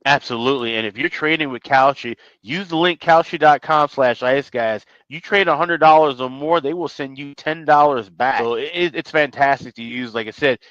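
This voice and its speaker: A man's voice: mid-range voice, moderate pace, few pauses, fairly bright tone, no echo, muffled recording. A man co-hosting a sports show, reading a sponsor spot in an enthusiastic, loose and rambling way. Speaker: co-host. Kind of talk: sponsor read in casual chat.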